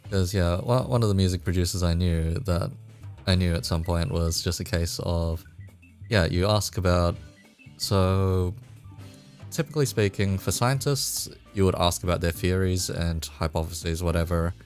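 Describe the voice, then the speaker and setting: Very deep-voiced laid-back male host. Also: normal speed, soft background music. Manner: laid-back, rambling, laughs often. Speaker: interviewer, host. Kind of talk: casual interview chat.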